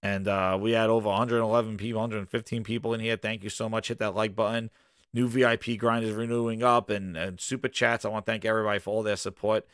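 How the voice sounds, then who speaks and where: Hoarse man hosting a gaming stream. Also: fairly low pitch, no room sound, clean studio sound. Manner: animated ranting, loose and rambling. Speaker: gaming podcast host. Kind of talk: casual livestream chat.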